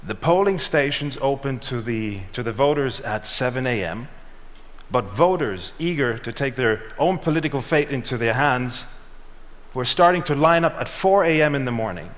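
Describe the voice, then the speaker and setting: A middle-aged man giving a prepared talk on stage, telling a measured story and letting his lines trail off. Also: medium pitch, expressive, fairly bright tone. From Switzerland and Ghana. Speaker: speaker. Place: large auditorium. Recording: reverberant hall.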